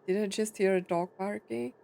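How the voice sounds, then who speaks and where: A woman's voice: mid-range voice, steady tone, normal speed, gravelly, dark tone. A woman streamer giving casual gameplay commentary in short, informal, puzzled reactions. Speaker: game streamer.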